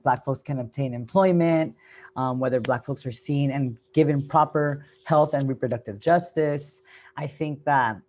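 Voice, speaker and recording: A woman's voice: very deep voice, a little mellow. A woman speaking earnestly and emphatically as a panelist on a video call, often adding "right?". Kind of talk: panel discussion.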